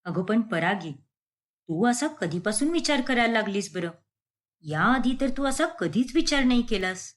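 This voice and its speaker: Female narrator doing homely mother, simple, talkative, questioning, unembarrassed, lively intonation, cartoon story. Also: mid-range voice, a little slow, natural voice. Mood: puzzled. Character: simple, chatty middle-class mother, naive and unembarrassed.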